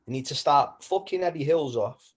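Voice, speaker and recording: A man's voice: a little high, normal speed. A man with a North West English accent chatting casually as a guest, matey and slangy, often restarting his sentences. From Manchester area, England. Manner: matey, slangy, stumbling over starts. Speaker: guest caller. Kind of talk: casual chat.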